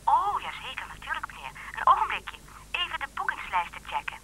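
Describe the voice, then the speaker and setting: Bright, sing-song clerk on phone. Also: female voice, sits high, huge pitch swings, a little fast, slightly rough, exaggerated voice. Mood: brisk, helpful. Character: polite, helpful airport information clerk. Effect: telephone voice. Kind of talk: Dutch radio play acting. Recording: tinny noisy phone line.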